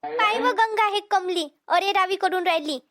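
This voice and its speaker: Casting: combative cartoon village mother-in-law, Vidarbha Marathi accent, extremely high pitched-up voice, scolding and daring. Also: moderate pace, fairly bright tone. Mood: complaining. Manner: scolding, repetitive challenges. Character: hot-tempered quarrelsome village mother-in-law, threatening. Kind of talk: cartoon comedy dialogue.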